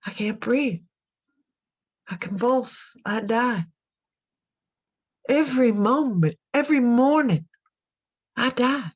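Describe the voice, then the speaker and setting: Guilt-stricken imprisoned mother, muffled audio. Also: on the high side. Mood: anguished. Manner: anguished, confessional, halting. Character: guilt-ridden imprisoned mother who killed her daughters. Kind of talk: radio drama acting.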